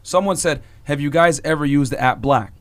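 Fast, emphatic young man co-hosting a podcast. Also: on the high side. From United States. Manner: emphatic, animated storytelling with acted-out quotes. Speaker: podcast co-host. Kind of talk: heated podcast discussion.

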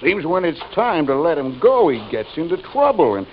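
An actor playing a brutal, bullying prison work-gang boss who barks orders and taunts, in an old-time radio western. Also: male voice, fairly high pitch, big pitch swings, moderate pace. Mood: taunting, menacing. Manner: barking orders, menacing taunts. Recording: muffled, noisy old radio recording.

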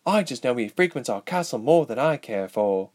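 A man's voice: medium pitch, animated, moderate pace, a little mellow, pitched above the performer's own voice, lightly acted. A man voicing a young, petite princess in an audiobook story, impatient and earnest, with an emphatic delivery. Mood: worried. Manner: exasperated, emphatic.

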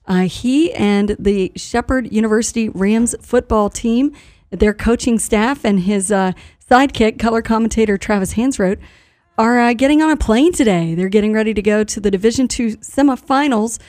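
A woman hosting a live radio talk show in an informal, halting manner, sometimes reading notices aloud. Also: on the high side, a little mellow.

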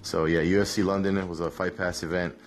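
A man, hungover, hosting a casual solo podcast recap in a relaxed, rambling way with many fillers. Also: deep voice, fast talker, faint music behind. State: hungover, rough voice. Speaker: podcast host, MMA analyst.

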